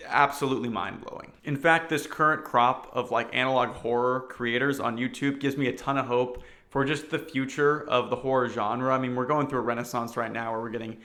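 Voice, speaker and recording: Guy doing casual youtube style commentary, bright punchy voice, earnest and emphatic, mid pitch. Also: steady tone, fairly quick, hardly any pauses. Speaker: video commentary host. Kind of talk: casual opinion commentary to viewers.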